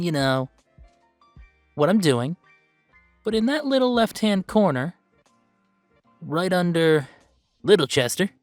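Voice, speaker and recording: A man playing an upbeat, earnest video game streamer who chats casually and haltingly in a livestream roleplay. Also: high voice, no echo, full character voice. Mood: excited. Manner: halting, rambling, sighing, earnest.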